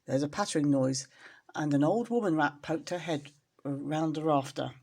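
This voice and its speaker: A woman storyteller reading expressively to young children, in an engaging, animated manner. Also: deep voice, normal speed. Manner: animated, addresses young listeners with questions.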